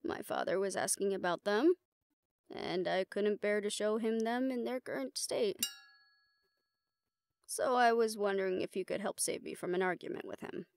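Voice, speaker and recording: A woman's voice: medium pitch, moderate pace, a little mellow, clear, resonant tone, no echo, close to an everyday voice. A woman playing a confident, teasing monster hunter in an audio roleplay, with a wry, coaxing manner. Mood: businesslike, discreet. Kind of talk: intimate scripted audio drama acting.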